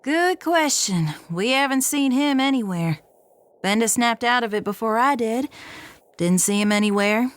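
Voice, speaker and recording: A woman's voice: fairly high pitch, animated, unhurried, no echo. A woman playing a folksy, plain-spoken and adventurous space cargo pilot in an audio drama, talking informally like a storyteller. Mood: concerned, matter-of-fact.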